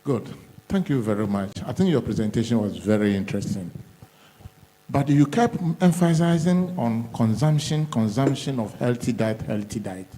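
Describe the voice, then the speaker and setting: A man speaks up from the audience in a mellow, mid-pitched voice, measured and repeating his key phrases. The sound carries a long hall reverb with a faint hum underneath. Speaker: audience member asking a question. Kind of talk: audience question at a conference. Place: conference hall.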